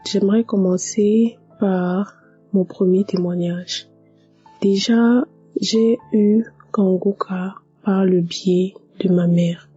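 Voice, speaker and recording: A woman with a husky, warm voice gives an earnest testimony, pitched a little low and keeping an even, flat line. She repeats words for emphasis as she speaks. The recording is dull and lo-fi, with faint music underneath. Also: takes it slowly. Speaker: testifier giving a church testimony. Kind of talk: personal testimony.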